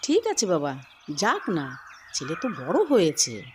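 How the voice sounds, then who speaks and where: A woman's voice: on the low side, huge pitch swings, a little slow, a little mellow, a little hiss or hum. A woman playing an indulgent, teasing old grandmother in an audio story drama.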